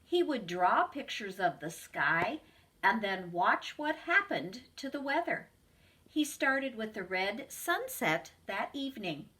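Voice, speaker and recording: Preschool teacher, woman, reads a picture book aloud to kids, high and animated. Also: poor audio. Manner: slow, expressive storybook reading.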